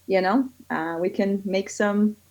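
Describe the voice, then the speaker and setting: A woman's voice: medium pitch, unhurried. Chatty Israeli-born woman on lo-fi audio. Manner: chatty, many fillers, gets excited when telling stories. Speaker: guest, kids yoga studio owner. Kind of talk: casual podcast interview. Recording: dull lo-fi audio.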